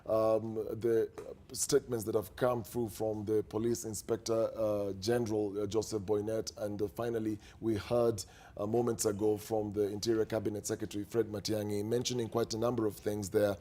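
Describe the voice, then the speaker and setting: A man anchoring live TV news, asking questions in a measured way with frequent fillers. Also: on the low side, little pitch movement, warm tone.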